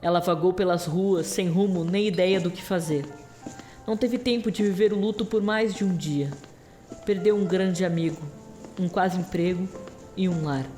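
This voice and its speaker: A woman narrator telling a scripted story in an audio drama. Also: mid-range voice.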